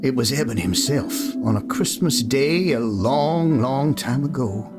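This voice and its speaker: A man's voice: medium pitch, animated, measured pace, close to an everyday voice. A man playing a folksy cowboy storyteller narrating a Western radio play. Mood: storytelling. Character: folksy cowboy storyteller telling a boy a tale. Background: guitar music.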